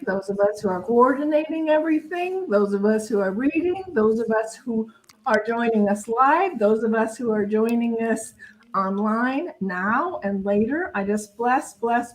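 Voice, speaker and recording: A woman minister leading a church service in spoken prayer, unhurried, building repeated phrases like a litany. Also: fairly high pitch, a little mellow, low-quality recording.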